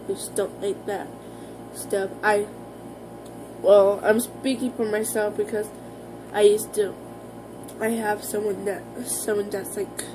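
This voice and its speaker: An American woman talking casually to her viewers in an informal, halting, rambling vlog style. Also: mid-range voice, low-quality recording. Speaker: vlogger.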